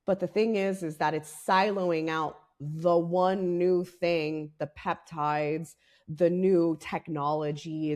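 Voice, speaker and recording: Raspy-voiced woman, fired-up podcast host. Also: lowish voice, darkish tone. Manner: candid, fired up, swears freely. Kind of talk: casual podcast talk.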